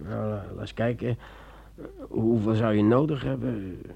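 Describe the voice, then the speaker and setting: An actor playing a brave, sceptical sergeant in a Dutch radio drama, hesitant and repeating himself when pressed. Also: male voice, fairly low pitch, steady tone, unhurried, creaky, dark tone, slightly rough, heavily acted. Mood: hesitant, pressured.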